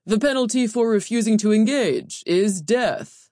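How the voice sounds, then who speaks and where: A woman acts out a pompous male training overseer from a fantasy audiobook. He projects loudly and declaims with grandiose ceremony, pitched up as if addressing a whole arena. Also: measured pace, dry recording, clean studio sound, lightly acted. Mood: stern, grand. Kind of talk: audiobook character voice.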